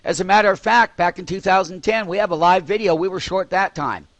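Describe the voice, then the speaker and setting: A man's voice: high voice, fairly quick, a bit bright, dry recording, dull audio. A man hosting a live stock trading call with emphatic, repetitive commentary.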